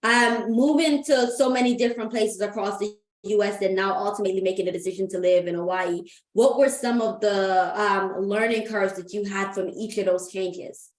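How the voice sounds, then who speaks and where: A woman's voice: mid-range voice, steady tone, moderate pace, few pauses. A woman from Guyana hosting an interview show, asking friendly questions in an upbeat way over a video call. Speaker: host. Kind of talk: interview host. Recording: echoey room.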